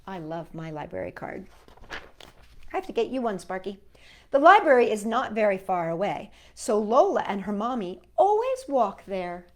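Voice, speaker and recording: A woman's voice: medium pitch, sing-song, moderate pace. A woman hosting a children's storytime, reading a picture book expressively and chatting to young listeners in asides.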